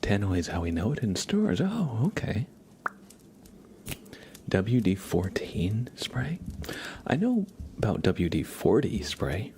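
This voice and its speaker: A man hosting an ASMR livestream in a hushed, unhurried, rambling way, speaking softly and casually close to the microphone. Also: lowish voice, wildly swooping pitch, a little hiss or hum. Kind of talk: live ASMR, soft whispering and quiet casual chat.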